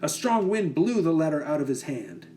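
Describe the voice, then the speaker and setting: Animated, higher-pitched man reading a picture book. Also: moderate pace, slight background noise. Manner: animated, dramatic phrasing. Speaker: children's book reader. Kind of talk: expressive picture-book narration.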